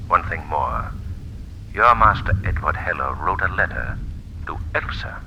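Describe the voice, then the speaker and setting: An actor playing a menacing invisible avenger in a 1940s radio drama, speaking in a sinister, deliberate, commanding way. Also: male voice, low voice, lively intonation, vocal fry, sharp tone, highs cut off, exaggerated voice. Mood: commanding, probing. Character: menacing invisible mystery avenger, hypnotic man. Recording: noisy old radio recording.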